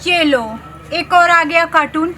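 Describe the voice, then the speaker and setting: Woman playing a high, sharp, irritable city sister-in-law. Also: flat delivery, measured pace, a little hiss or hum, heavily acted. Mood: annoyed. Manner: curt, scolding, sarcastic. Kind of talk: comedy skit dialogue.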